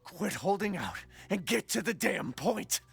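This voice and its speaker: A hot-tempered, desperate father in a dramatic video game. His delivery is agitated and blunt, pitched high with a gravelly edge and big swings of intonation. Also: big pitch swings, measured pace, exaggerated voice. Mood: angry, incredulous.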